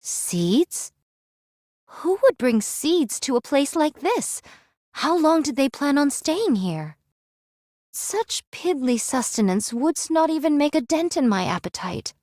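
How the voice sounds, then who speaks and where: A wry android swordswoman from an anime-style game. She speaks with stately, old-fashioned formality in a fairly high voice. The sound is close and dry. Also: lively intonation, slow pace, darkish tone, dry recording. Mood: scornful. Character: archaic-speaking android swordswoman, wry, fond of food and drink.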